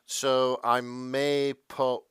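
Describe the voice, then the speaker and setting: Clear-voiced man chatting casually on a podcast. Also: mid-range voice, level tone, extremely slow, fairly bright tone, no room sound, clean studio sound. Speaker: podcast co-host. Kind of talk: casual banter.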